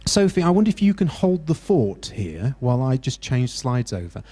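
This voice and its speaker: A man's voice: mid-range voice, very animated, mellow, husky. A British man facilitating a mental health workshop, encouraging and full of restarts as he talks to the group. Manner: encouraging, halting with many restarts. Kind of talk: interactive workshop teaching. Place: university hall workshop.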